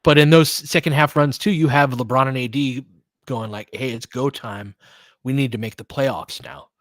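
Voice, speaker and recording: A man hosts a casual live basketball commentary in a mid-pitched voice. He moves between clipped play calls and conversational fan analysis, thinking aloud in broken phrases. The sound is dry, with very little room to it. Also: fairly even intonation, normal speed, a bit bright, no room sound.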